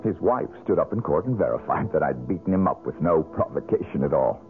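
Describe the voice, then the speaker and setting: An actor playing a bitter, brooding failed painter in a 1970s radio drama, gruff, sullen and curt. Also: male voice, extremely low pitch, animated, a little fast, faint music behind. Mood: bitter, resigned. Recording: muffled lo-fi old radio broadcast.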